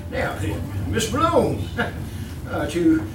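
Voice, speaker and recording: Fussy, chuckling smarmy swindler, swooping pitch. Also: male voice, very animated, very slow, noisy background, full character voice. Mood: oily, fussy. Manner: stammering, repeating words, nervous chuckling. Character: fussy, smarmy, chuckling male swindler posing as philanthropist employer. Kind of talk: old-time radio drama acting. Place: live theatre stage. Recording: roomy distant live stage, music behind.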